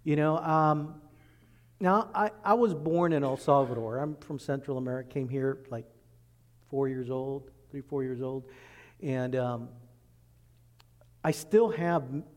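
A man preaching a sermon as pastor, working through scripture and acting out quoted speech in lively voices. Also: medium pitch, measured pace, pauses often. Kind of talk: expository sermon. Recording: long reverb in a large hall.

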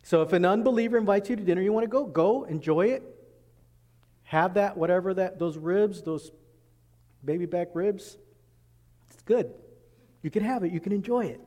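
A man preaching a sermon as pastor, working through scripture and acting out quoted speech in lively voices. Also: high-pitched, moderate pace, long pauses. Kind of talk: expository sermon. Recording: long reverb in a large hall.

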